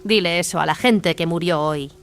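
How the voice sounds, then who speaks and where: A woman playing a stern, commanding warrior sister in an audio drama, speaking with firm, measured authority. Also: lowish voice, close to an everyday voice. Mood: cold, suspicious. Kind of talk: dramatized audio fiction acting. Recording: music behind the voice.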